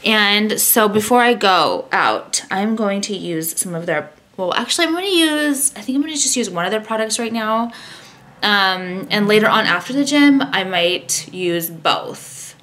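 A woman's voice: medium pitch, animated, measured pace, a bit bright. Woman, 30s, chatty, rambling, self-deprecating, home vlog, camera at arm's length. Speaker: vlogger. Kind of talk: casual vlog chat with product talk. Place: bedroom at home, indoors.

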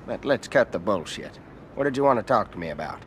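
A man's voice: lowish voice, expressive, a little fast, slight background noise, natural voice. A man with an American accent playing an arrogant, sly middle-aged senator in a video game cutscene, blunt and condescending. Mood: scornful, blunt. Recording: game audio with background ambience.

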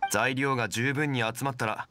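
An actor playing a calm, erudite gentleman in a video game, speaking formally and with measured composure. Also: mid-range voice, noisy background, lightly acted. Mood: composed. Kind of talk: Japanese game voice acting.

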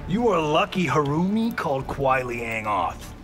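A man's voice: a little high, big pitch swings, unhurried, fairly bright tone. A man voicing a cocky young male fighter in a video game, speaking in a scornful, teasing way. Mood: taunting.

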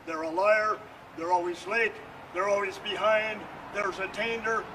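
A middle-aged man giving an earnest, solemn monologue to a camera outdoors, with emphatic, deliberate phrasing. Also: sits high, steady tone, pushed and bright. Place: outdoors beside a building. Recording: distant mic, noisy outdoor video sound.

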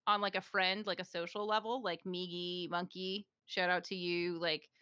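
Bright, chatty woman, lo-fi dry mic. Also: fairly low pitch, little pitch movement, normal speed, clean tone, dry recording. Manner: chatty, laughs often, many fillers. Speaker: podcast co-host, streamer. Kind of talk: casual chat.

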